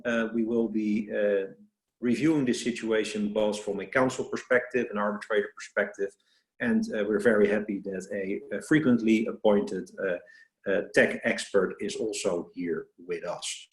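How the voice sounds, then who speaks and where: A man's voice: on the low side, steady tone, moderate pace. Dutch-accented man in an echoey room. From Netherlands. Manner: hesitant, broken into short phrases. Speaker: panel moderator. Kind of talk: spoken webinar introduction, semi-formal. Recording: roomy, echoing webinar audio.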